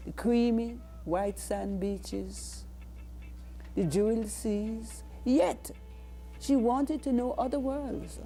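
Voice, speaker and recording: Slow Jamaican woman reciting poetry over music. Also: a little high, dark tone. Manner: deliberate, dramatic phrasing. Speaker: poet. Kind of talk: spoken-word poetry recital.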